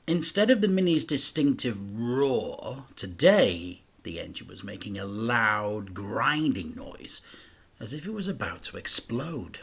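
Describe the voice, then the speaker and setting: A man, a teacher, reading a children's story aloud, shifting between hushed whispers and loud acted-out crash sounds. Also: medium pitch, husky. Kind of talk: dramatic storybook read-aloud.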